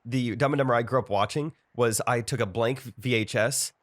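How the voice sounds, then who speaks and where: An American man speaks in a middle-pitched voice as a casual podcast co-host. He chats informally, as if among friends. The sound is dry, with hardly any room in it. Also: a little fast, dry recording.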